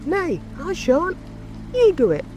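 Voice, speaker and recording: Tiny squeaky flustered lizard. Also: female voice, wildly swooping pitch, slow, exaggerated voice. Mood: anxious, protesting. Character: small, feeble, squeaky, flustered male lizard underling. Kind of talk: dramatic audiobook character acting. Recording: music and rain ambience behind.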